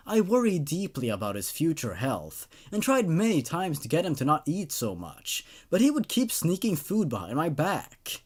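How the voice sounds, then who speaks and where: A man's voice: on the high side, slightly rough, heavily acted. A man voicing an exasperated mother who gives an earnest, dramatic infomercial testimonial about a child in a story reading.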